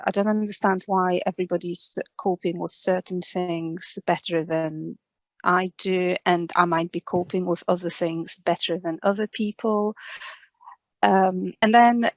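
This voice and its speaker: Polish-accented woman, lowish voice, hesitant. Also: steady tone, no echo. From Poland. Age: 40s. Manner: hesitant, halting, with repeated words. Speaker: interview guest, speech and language therapist. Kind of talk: casual, reflective conversation.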